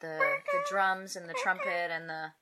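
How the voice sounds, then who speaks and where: A woman casually recounting a family trip in chat with her family, telling it lively and acting out sounds. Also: fairly low pitch, unhurried. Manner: lively storyteller, throws in sound effects.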